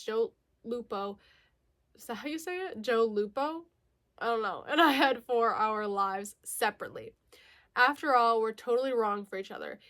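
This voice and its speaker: A woman's voice: a little high, a bit bright. A woman chatting casually as a book vlogger, giggly and self-correcting, slightly nasal from a lingering mild cold. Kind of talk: casual chatty book haul, reading blurbs aloud.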